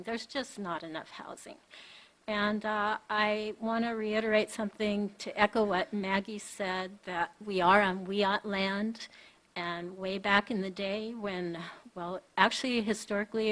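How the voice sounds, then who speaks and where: A woman giving public comment at a county board meeting at a podium microphone, earnest and pleading, halting with many pauses. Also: medium pitch, a bit bright, low-quality recording.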